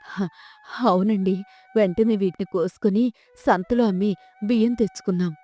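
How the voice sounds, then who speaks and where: Lady playing humble village wife in a folk story, middle pitch, soft music behind. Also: steady tone, normal speed, close to an everyday voice. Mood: deferential. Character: poor, dutiful village wife, worried and deferential. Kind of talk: dramatised folk tale storytelling.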